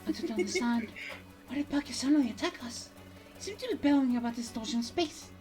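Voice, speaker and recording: A woman's voice: high voice, lively intonation, fast talker, mellow, voice pushed up. A woman voicing an anxious, bewildered young adventuring partner in a game, reading the dialogue in an earnest, worried manner. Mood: worried, puzzled.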